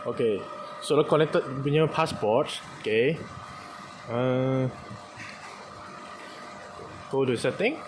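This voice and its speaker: A man with a Malaysian accent giving an informal step-by-step product demonstration in halting, clipped phrases that mix Malay and English. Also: medium pitch. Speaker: car accessories installer giving tutorial. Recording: clearly noisy, with ambient background sound.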